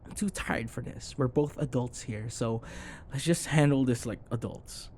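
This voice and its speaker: A man's voice: medium pitch, normal speed, darkish tone, a little hiss or hum, natural voice. A man acting a grumpy, sarcastic office rival who grudgingly cares, in an intimate, blunt, conversational roleplay style. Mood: tired, irritated. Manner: exasperated, blunt, conversational, sighing.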